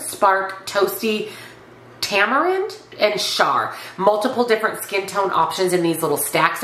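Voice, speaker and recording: A woman in her forties with a cold, sounding raspy and nasal, chatting through a makeup review at home and reading product copy aloud. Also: medium pitch, a bit bright, echoey room, a little hiss or hum, low-quality recording. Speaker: beauty YouTuber. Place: home beauty room with shelves, indoors.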